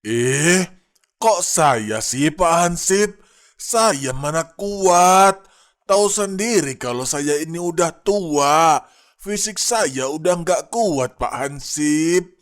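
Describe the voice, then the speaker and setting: Man playing slow-spoken elderly cartoon cleric. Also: fairly high pitch, lively intonation. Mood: protesting. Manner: deliberate, polite, pious exclamations. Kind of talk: animated cartoon dialogue.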